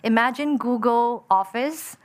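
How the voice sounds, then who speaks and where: A woman's voice: fairly high pitch, measured pace. Woman telling her founder story. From Malaysia. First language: Chinese. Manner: frequent fillers, lively and determined. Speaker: entrepreneur and panel speaker. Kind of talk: personal story told on a panel.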